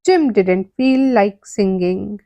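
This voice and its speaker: A woman reading a children's story aloud for language learners in a deliberate, expressive way. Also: medium pitch, no room sound. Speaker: story reader for English learners. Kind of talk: scripted read-aloud children's narration.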